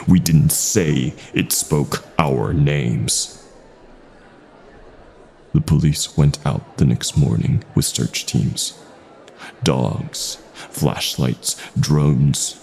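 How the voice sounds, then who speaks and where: A man narrating a scripted first-person horror story in a slow, dramatic way with deliberate pauses. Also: rumbling low, gravelly, mellow.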